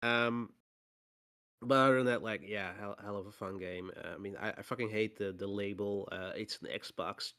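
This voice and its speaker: Hoarse low-voiced man, halting chat. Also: sharp tone. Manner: halting, many fillers and restarts. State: hoarse from a cold. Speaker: podcast co-host. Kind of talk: casual chat.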